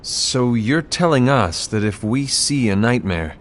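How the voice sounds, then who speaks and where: An actor playing a grave, suspicious leader of a masked order, speaking formally and sternly in a video game cutscene. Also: male voice, mid-range voice, lively intonation, measured pace, a little hiss or hum, close to an everyday voice.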